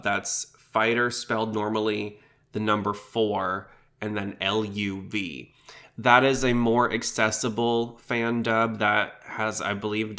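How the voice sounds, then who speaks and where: A man's voice: on the low side, pushed and bright. A man hosting a podcast, talking informally and explaining things in a casual style. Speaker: podcast host.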